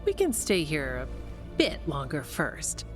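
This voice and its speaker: An actress playing a steadfast, practical warden in a fantasy video game, matter-of-fact and resolute. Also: female voice, deep voice, huge pitch swings, takes it slowly, heavily acted. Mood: reassuring. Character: steadfast, practical female warden, dutiful and reassuring. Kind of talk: video game dialogue acting. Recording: game music underneath.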